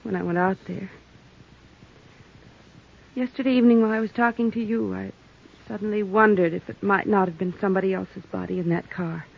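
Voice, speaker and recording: A cornered, gravelly-voiced killer. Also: female voice, mid-range voice, steady tone, a little fast, long pauses. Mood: confessing. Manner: tense, evasive and defensive. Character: cornered young woman killer, defiant then pleading. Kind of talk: 1950s radio drama acting. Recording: muffled 1950s radio transcription.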